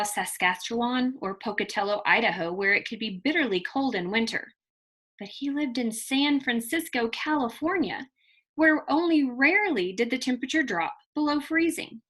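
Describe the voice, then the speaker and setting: A woman teacher reading a picture book aloud to children, expressive and deliberate, pausing to put questions to her young listeners. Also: on the high side. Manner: deliberate, emphatic delivery addressed to children.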